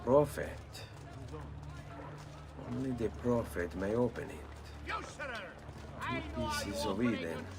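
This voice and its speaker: A man's voice: on the low side, animated, slow, vocal fry, pauses often, full character voice. An actor with an Italian accent playing a brooding, determined nobleman assassin of about thirty in a video game. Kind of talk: video game cutscene acting. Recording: music behind the voice.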